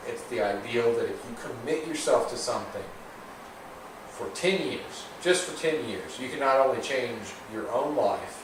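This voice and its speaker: A man's voice: medium pitch, expressive, moderate pace. A man in his thirties hosting a casual podcast chat, talking in an earnest, motivational, speechifying way. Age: 30s. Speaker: podcast host. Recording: echoey room, mic at a distance, noisy.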